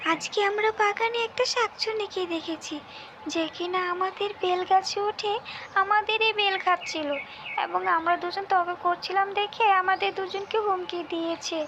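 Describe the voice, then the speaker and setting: A woman's voice: extremely high pitch, steady tone, moderate pace, pushed and bright, a little hiss or hum. A woman voicing a squabbling, bossy little village girl in a cartoon folk tale, whiny and insistent. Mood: frightened, urgent.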